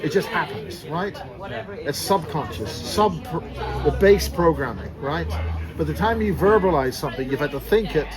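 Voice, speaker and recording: A man's voice: a little high, poor audio. A man with a Belfast accent, talking in an emphatic, rambling way in a casual conversation outdoors. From Northern Ireland. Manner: rambling, emphatic, jokey teasing. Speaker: speaker on secret space programs. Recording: loud crowd noise behind.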